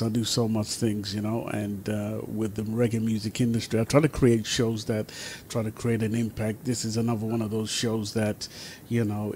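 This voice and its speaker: Man, low voice, talk show host, hesitant and repetitive, slightly noisy online call with rumble. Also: darkish tone. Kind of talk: informal radio talk show hosting.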